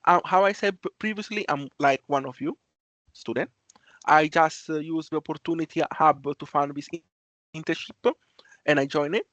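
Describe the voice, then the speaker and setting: A young man with an Italian accent presents on a video call. He speaks haltingly and often restarts his words, in a slightly high voice. The sound is dry and close. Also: fairly even intonation, fairly bright tone, no room sound. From Italy. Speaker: student intern presenter. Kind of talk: online presentation. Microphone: video call mic, cutting in and out.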